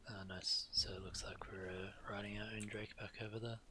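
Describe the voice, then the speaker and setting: Deep-voiced man streaming a game. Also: little pitch movement, a little fast, sharp tone, slight background noise. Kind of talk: reading quest text aloud and casual game commentary.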